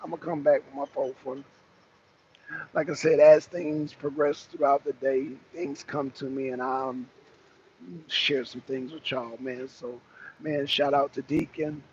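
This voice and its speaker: A raspy-voiced man hosting a casual rant to his viewers from his truck, blunt and profane as he calls out rivals. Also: mid-range voice, level tone, measured pace, lo-fi sound, a little hiss or hum. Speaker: host. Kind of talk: casual rant to a live audience. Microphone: phone camera.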